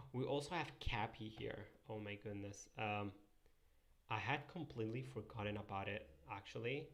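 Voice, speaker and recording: A man's voice: on the low side, normal speed, faint music behind. A young man whose first language is Italian, casually thinking aloud and answering live chat while he draws pixel art. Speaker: streamer drawing pixel art.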